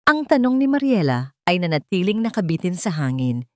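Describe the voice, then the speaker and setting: Female storyteller for dramatic fiction, slightly low voice, close dry recording. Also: big pitch swings, a little fast, a little mellow, no room sound. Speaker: storyteller, narrator. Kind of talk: dramatic scripted story narration.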